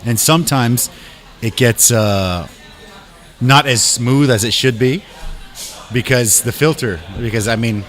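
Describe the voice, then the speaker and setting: A talkative man from Canada hosts a casual live chat, his voice sitting in the middle of the usual male range. He talks in quick, animated bursts, drops casual swear words and keeps tossing in short agreements while his guest speaks. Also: mid-range voice, soft background music. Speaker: host.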